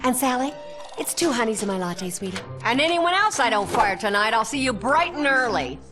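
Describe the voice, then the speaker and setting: A woman plays a slick cartoon news anchor, fairly high and very animated. She delivers dramatic interview lines with polish and condescension, over prominent music. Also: fairly quick, natural voice. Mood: bossy. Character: slick, haughty female bee TV news host.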